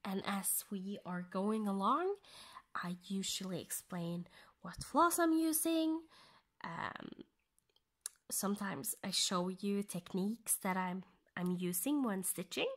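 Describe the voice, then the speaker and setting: A woman from Norway with a Norwegian accent, speaking English as a second language, explaining her cross-stitching in a soft, half-whispered way, pausing as she thinks aloud. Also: mid-range voice, animated. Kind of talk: casual stitch-along tutorial.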